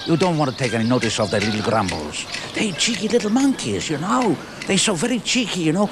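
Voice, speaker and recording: A man's voice: a little high, very animated, fast. A man voicing Lorenzo, a cheeky old male llama from South America who speaks broken English and chuckles as he gossips. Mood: chatty. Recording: noisy recording.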